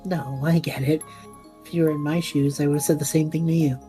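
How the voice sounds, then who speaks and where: Woman voicing laid-back kind young adventurer guy, low mellow slightly husky voice, audio drama. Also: steady tone, fairly quick, faint music behind, close to an everyday voice. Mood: weary, sheepish. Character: easygoing, kind-hearted young male adventurer, wearily modest.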